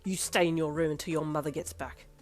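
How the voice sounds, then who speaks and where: A furious father bellows and barks his orders, as a woman narrator puts him on. His voice rises high and loud with rage. Faint music plays underneath. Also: steady tone, quick pace, close to an everyday voice. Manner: barking, yelling. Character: angry strict father, shouting man.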